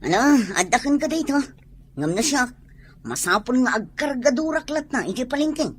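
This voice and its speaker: A woman voices a young husband who works as a market porter. The voice is high and husky. The delivery is affectionate, in the style of an audio family drama. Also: expressive, moderate pace. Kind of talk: Ilocano audio comedy drama acting.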